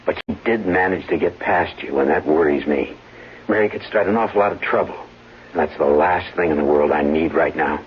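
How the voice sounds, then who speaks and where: An actor playing a cold, scheming husband in a radio drama, controlled and menacing in short clipped phrases. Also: male voice, a bass voice, fairly quick. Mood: irritated, worried. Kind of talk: old-time radio drama acting. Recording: dull old radio recording, humming noise.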